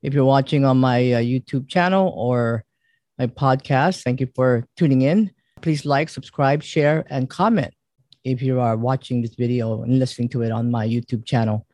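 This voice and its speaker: A man hosting his own interview podcast, asking halting questions with fillers. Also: mid-range voice, a little mellow, dry recording. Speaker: podcast host.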